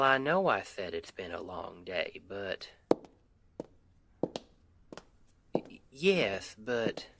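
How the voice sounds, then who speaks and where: A man's voice: deep voice, heavy background noise. A man playing a sweet, weary Southern US husband and roofer in an intimate ASMR roleplay, with an affectionate, teasing, folksy drawl. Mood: tired, teasing. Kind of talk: intimate ASMR roleplay, one side of a conversation.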